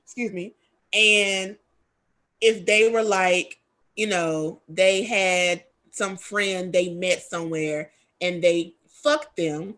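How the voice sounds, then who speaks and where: Candid talk-show hostess, bright voice. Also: female voice, lowish voice, steady tone, takes it slowly. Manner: candid, rambling with fillers. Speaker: host. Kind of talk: casual talk show chat.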